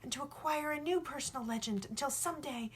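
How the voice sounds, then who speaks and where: A woman's voice: high-pitched, fast, a little hiss or hum, voice pushed up, natural voice. A woman voicing an earnest, bold young boy who philosophizes emphatically in a storybook read. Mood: earnest, persuasive.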